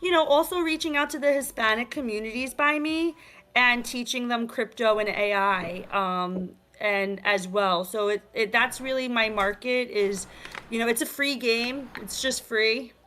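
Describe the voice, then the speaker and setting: Bright, energetic New York woman. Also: on the high side, measured pace. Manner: energetic, streetwise, many fillers like "like" and "you know". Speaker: guest, game creator. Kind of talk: casual interview chat. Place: virtual talk show, remote.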